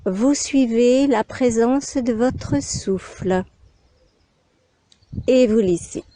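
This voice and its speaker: A woman instructor guiding a yoga nidra meditation in calm, measured short phrases with pauses between them. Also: a little high, slight background noise.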